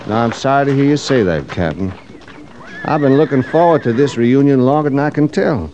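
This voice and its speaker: An actor playing an insinuating, falsely chummy cavalry trooper in a 1950s radio western, pointedly deferential. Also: male voice, medium pitch, animated, brisk, darkish tone, lo-fi sound, lightly acted. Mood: ingratiating. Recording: noisy old radio recording.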